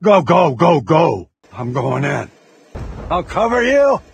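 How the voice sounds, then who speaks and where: An actor playing a commanding older male military officer with a rough, husky voice in video game lines. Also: a little high, sing-song, takes it slowly, slight background noise, exaggerated voice. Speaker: game operator.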